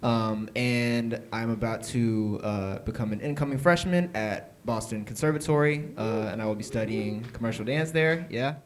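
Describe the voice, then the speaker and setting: A man's voice: medium pitch, moderate pace, few pauses, background noise. An eighteen-year-old young man introducing himself on a panel, hesitant and polite. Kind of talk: self-introduction.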